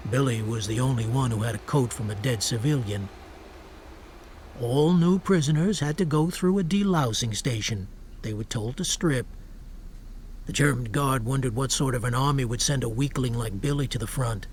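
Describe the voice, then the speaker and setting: A man's voice: medium pitch, normal speed. Matter-of-fact male radio drama narrator. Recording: faint ambient noise behind.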